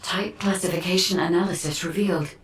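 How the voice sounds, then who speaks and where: A woman voicing a calm, mysterious artificial intelligence guide in a video game, formal and breathy, with an ethereal echo. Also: fairly low pitch, a little fast. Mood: calm, puzzled. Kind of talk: dramatic video game cutscene acting. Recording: echoing, distant-sounding processed voice.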